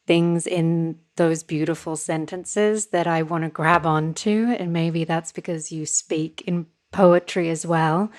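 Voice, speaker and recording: A woman hosting a podcast interview, asking measured, admiring questions. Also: fairly low pitch. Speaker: podcast host.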